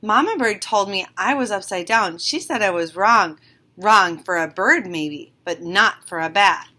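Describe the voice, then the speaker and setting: A naive little girl bat from a storybook, voiced by a woman reading aloud. She sounds earnest and exclaims her lines, a bit raised in pitch. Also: animated, a little slow, bright, forceful tone. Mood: indignant.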